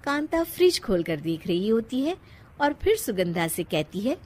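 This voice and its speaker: A woman narrating a scripted moral story in a measured storytelling delivery. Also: medium pitch, very animated, darkish tone.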